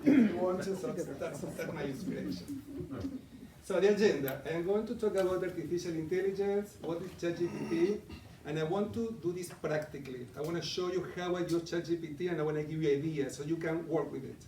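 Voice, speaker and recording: A middle-aged Argentinian man leads a live talk, chatting with the room and putting questions to his listeners. His voice sits a little high and is picked up from some distance in an echoey meeting room, with faint ambience behind. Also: a little fast, mellow, a little hiss or hum. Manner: conversational, asks the audience questions. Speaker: coaching presenter. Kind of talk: live interactive presentation.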